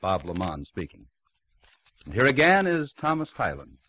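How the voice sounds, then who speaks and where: A man's voice: lowish voice, huge pitch swings, moderate pace, a bit bright, no room sound. A man announcing on 1950s network radio, reading scripted credits and promos in a formal, polished manner.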